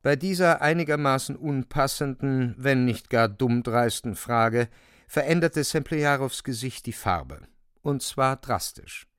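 A man's voice: mid-range voice, measured pace, a bit bright, clean tone, no echo, clean studio sound. A man narrating a radio drama in a dramatic, wry style, relishing emphatic wording.

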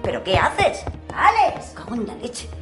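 A woman's voice: fairly high pitch, huge pitch swings, measured pace, music in the background. An actress playing an exasperated, bossy young woman in a naturalistic radio comedy, urgent and sweary. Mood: bossy, flustered. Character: exasperated bossy young woman wrangling her drunk friend.

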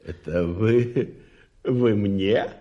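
An actor playing a pompous, languid aristocrat of a gentlemen's club in a radio play, drawling with snobbish condescension. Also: male voice, lowish voice, drawn-out words, dull audio. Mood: baffled, haughty. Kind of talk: 1980s Soviet radio play acting.

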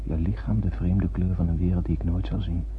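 An actor playing an earnest, reflective spacefarer who narrates a radio drama in a lyrical way. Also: male voice, sits low, steady tone, a little fast, close to an everyday voice. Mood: solemn, lyrical. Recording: tinny old radio recording, faint hum.

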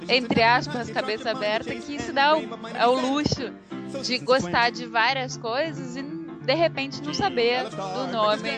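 A Brazilian woman talking as a guest in a casual podcast chat. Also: on the high side.

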